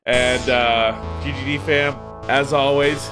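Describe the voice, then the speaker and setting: A man's voice: mid-range voice, steady tone, slow, over music. A man with a congested voice, hosting a casual podcast in a laid-back way and laughing easily. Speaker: podcast host. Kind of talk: casual chat.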